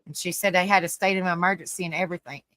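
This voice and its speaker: Woman host, chatty and folksy, bright tone, very dry sound. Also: fairly low pitch, fairly even intonation, rapid-fire, no room sound. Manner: folksy, repeats phrases, says "bless your heart, honey". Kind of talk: casual live-stream chat.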